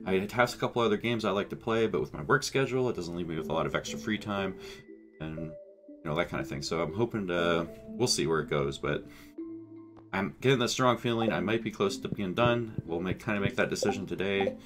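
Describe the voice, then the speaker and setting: A man's voice: sits low, brisk. A man in his thirties with a stuffy nose, chatting casually and rambling while he plays a farming game on a headset mic. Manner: relaxed, rambling, thinking aloud. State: congested from a cold. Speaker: gaming channel host. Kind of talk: casual let's play commentary. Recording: game music playing under voice.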